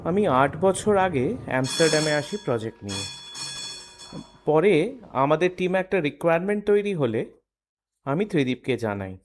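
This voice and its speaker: An actor playing a friendly young engineer in an audio drama, speaking conversationally. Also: male voice, fairly high pitch, very animated, normal speed, pauses often, faint music behind. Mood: explaining.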